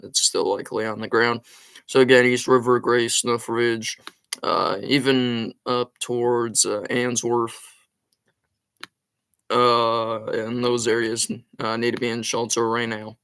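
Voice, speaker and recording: A man's voice: medium pitch, flat delivery, slow, vocal fry, a bit bright, smooth, no echo. A man hosting a live storm-tracking stream with a sore throat, giving urgent take-cover warnings between many ums and uhs. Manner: urgent warnings mixed with frequent fillers. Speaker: storm tracking livestream host. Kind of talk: live weather commentary.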